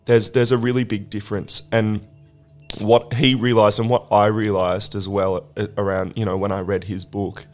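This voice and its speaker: A hoarse man who has lost his voice, hosting a recovery podcast and musing in a halting, reflective way. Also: fairly low pitch, flat delivery, soft background music. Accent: British. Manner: halting, with many restarts. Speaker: podcast host. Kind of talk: reflective casual chat.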